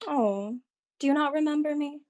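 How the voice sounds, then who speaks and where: A woman's voice: sits high, normal speed, a little mellow, natural voice. A woman playing a small ghostly spirit, forlorn and questioning in short fragments, at a script table read. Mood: questioning, wounded.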